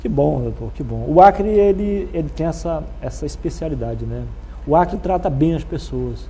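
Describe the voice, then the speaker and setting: A Brazilian man with a husky voice, hosting a television talk show in a chatty presenting style. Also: on the high side, animated, moderate pace, warm tone, slight background noise, low-quality recording. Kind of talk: live show presenting with sponsor read and chatty interviewing.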